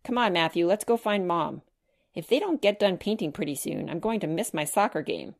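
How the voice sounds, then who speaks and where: A woman's voice: lowish voice, sing-song, fairly quick, vocal fry, heavily acted. An older-brother boy from a children's storybook, read by a woman. He sounds assured and considerate, thinking things over as he speaks. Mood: easygoing.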